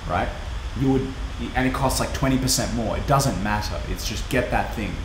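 A man's voice: mid-range voice, moderate pace. A young man in his twenties with an Australian accent, chatting casually and laughing easily as a watchmaker and co-host. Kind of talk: casual livestream chat. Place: indoor watchmaking workshop. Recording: echoey workshop with air-conditioning hum.

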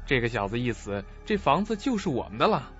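A man's voice: medium pitch, very animated, a little fast, muffled recording, natural voice. A man playing a greedy, crooked lawyer in a suspense radio play, with an oily, ingratiating manner. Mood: evasive. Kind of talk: audio suspense drama acting. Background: music.

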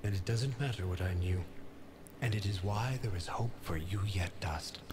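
A man voicing an ancient talking sword in a game, calm and measured like a sage. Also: low voice, noisy background, lightly acted. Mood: solemn. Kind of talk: game cutscene dialogue.

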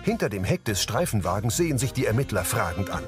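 A man's voice: lowish voice, sing-song, fast talker, over loud music. A man giving concise, neutral scripted narration of on-screen action as an audio describer.

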